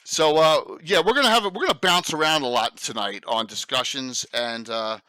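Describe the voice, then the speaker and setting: Philly-accented male host, bright and forward tone, dry close sound, rambling and eager. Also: mid-range voice, moderate pace, no room sound, poor audio. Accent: Philadelphia. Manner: rambling, eager, lots of fillers. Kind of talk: casual livestream chat.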